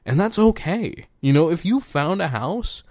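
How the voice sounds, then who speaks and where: A man's voice: fairly high pitch, lively intonation, measured pace, a little mellow, no room sound, clean studio sound. A man in his twenties hosting a solo podcast, rambling casually, swearing freely and laughing at himself. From United States. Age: 20s. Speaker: podcast host.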